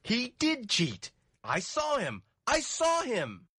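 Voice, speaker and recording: A woman voicing an angry, defiant Swedish man who shouts taunts in a dramatic story reading. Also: a little high, wildly swooping pitch, slow pace, breathy edge, voice pushed up, full character voice. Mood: accusing.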